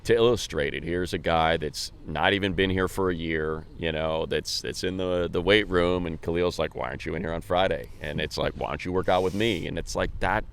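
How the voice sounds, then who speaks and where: Low-voiced man in casual sports commentary. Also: fairly even intonation, a little fast, gravelly, hardly any pauses, clear, resonant tone. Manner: colloquial, rambling, thinking aloud. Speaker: sports co-host and play-by-play announcer. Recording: faint background noise.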